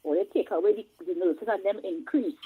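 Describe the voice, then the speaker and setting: A woman's voice: medium pitch, brisk, breathy edge, no room sound. A Trinidadian woman calling in to a radio show, talking in an emphatic, chatty way with rhetorical asides. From Trinidad and Tobago. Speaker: caller. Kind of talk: casual call-in chat. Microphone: phone. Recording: tinny phone line.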